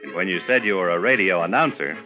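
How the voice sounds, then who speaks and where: Deep-voiced older American announcer over music. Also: male voice, animated, fast talker. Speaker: documentary narrator, veteran radio announcer. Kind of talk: scripted documentary narration. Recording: dull vintage recording, music behind.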